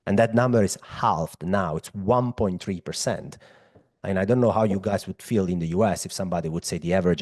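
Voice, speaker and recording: Low, husky man with an Italian accent. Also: a little mellow. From Europe. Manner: conversational, emphatic, addresses listeners directly. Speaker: macro analyst presenting. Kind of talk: explanatory finance presentation.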